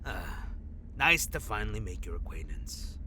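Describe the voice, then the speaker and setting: An actor in an audio drama playing a cocky, joking hitchhiker, flippant and theatrically mock-formal. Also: male voice, fairly low pitch, very animated, normal speed, background noise. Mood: polite, dry. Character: cocky, joking young male undercover hitchhiker.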